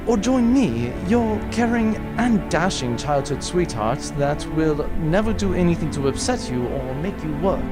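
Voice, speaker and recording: A man playing a young noble rebel leader in an audio drama, pompous and easily flustered. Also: a little high.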